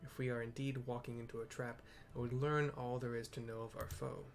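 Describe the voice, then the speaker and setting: A man's voice: mid-range voice, little pitch movement, fairly quick, natural voice. A man voicing a grave, proud dragon-slaying knight in a fantasy game, with solemn, formal, old-fashioned phrasing. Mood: grave, measured.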